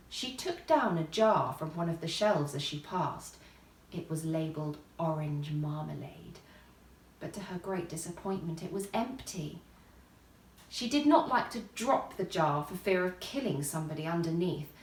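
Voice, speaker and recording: A woman reading a children's story as expressive scripted narration, with a theatrical, deliberate storytelling manner. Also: lowish voice. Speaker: storybook reader. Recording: roomy echo, distant microphone.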